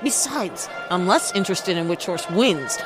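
An actress playing a cocky, quick-witted detective in a comic noir audio drama, theatrical, wry and self-satisfied. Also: female voice, lowish voice, animated, loud music behind the voice, close to an everyday voice. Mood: wry, assured.

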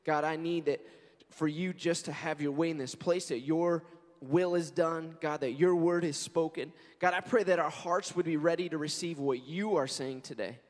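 A man in his forties, a pastor, preaching a sermon in an earnest, conversational way and telling stories, his voice hoarse and scratchy. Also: a little high. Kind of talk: sermon with prayer and scripture reading. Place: church sanctuary. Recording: long reverb of a large church hall.

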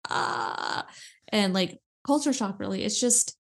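A woman with an American accent talks as a guest in a casual conversation. Her pitch sits in the middle of the usual female range. She tells anecdotes in a chatty, animated style, often slipping into quoted asides. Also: takes it slowly, vocal fry, breathy edge. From rural Nebraska, United States. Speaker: interview guest, former teacher. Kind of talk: casual chat, telling anecdotes.

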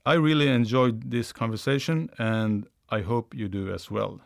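This is a man with a Swedish accent who hosts a podcast and speaks English as a second language. His pitch sits in the middle of the male range. He talks in a friendly, conversational way, pausing often on fillers. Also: steady tone, vocal fry, dry recording, clean studio sound. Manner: friendly, hesitant with frequent fillers. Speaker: podcast host.

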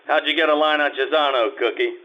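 An actor playing a wisecracking hard-boiled private eye in a live old-time radio play, dry and sardonic. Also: male voice, a little high, steady tone, fast talker, bright, forceful tone. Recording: muffled lo-fi recording.

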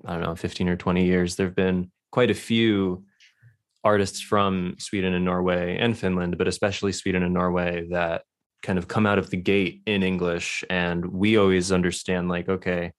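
Low-voiced American male interviewer. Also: level tone, normal speed. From United States. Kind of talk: casual interview conversation.

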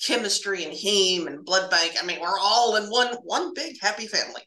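A woman casually telling spooky stories from her hospital laboratory work, halting and repeating words as she goes and acting out bits of dialogue. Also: mid-range voice, pushed and bright, roomy sound, poor audio.

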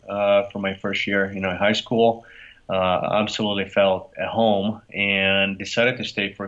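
A man's voice: low-pitched, pushed and bright. A man with a Brazilian accent, a company founder answering interview questions in an easygoing way, laughing often. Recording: dull lo-fi audio.

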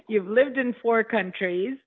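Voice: medium pitch, lively intonation, slow, husky. An interviewer asking questions and giving frequent encouraging affirmations. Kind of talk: interview conversation. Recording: phone-like narrow band.

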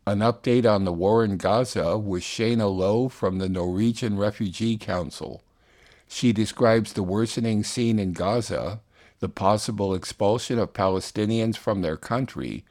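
A man's voice: on the low side, normal speed, a little mellow. A man hosting a radio show, reading scripted announcements between news segments.

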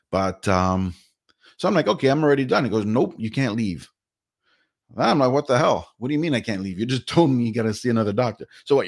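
A man's voice: lowish voice, a little mellow. A middle-aged man from Canada telling a personal story in a casual, rambling vlog, full of fillers and restarts. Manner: rambling, chatty, many fillers. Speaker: vlogger.

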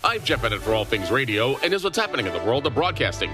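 A man's voice: mid-range voice, sing-song, quick pace, music in the background. A man reading scripted radio news as the show's reporter.

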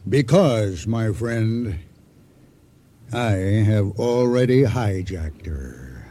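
A man acting a boastful, scheming sea-adventurer and hijacker in a radio drama, with a deliberate, insinuating delivery full of dramatic pauses. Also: on the low side, lively intonation, warm tone, a little hiss or hum. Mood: persuasive, scheming. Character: boastful, scheming male sea-adventurer turned ship hijacker, silkily persuasive.

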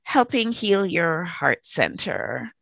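A woman from Trinidad hosts an online gathering, her pitch swinging widely as she gushes over guests. The sound is dry and close, with no room around it. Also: big pitch swings, takes it slowly, creaky, dry recording. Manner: effusive and warm-hearted, calls guests in one by one. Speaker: host. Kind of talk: online networking meeting hosting.

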